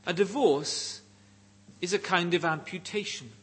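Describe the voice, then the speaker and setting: A middle-aged man with a Welsh accent preaching a sermon in deliberate, emphatic phrases with pauses. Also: high-pitched, a bit bright, slightly rough. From Wales.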